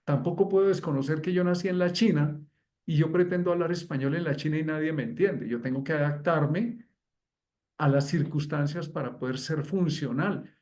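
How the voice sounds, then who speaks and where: A man's voice: a little high, normal speed, darkish tone. A man in a conversation who explains fluently, stringing examples together in flowing phrases. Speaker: guest astrologer.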